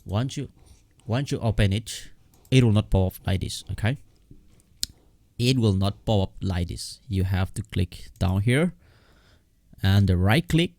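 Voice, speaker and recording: A man who is not a native English speaker gives a casual step-by-step software tutorial in a halting, repetitive way. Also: lowish voice, lively intonation, no room sound. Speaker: tutorial host.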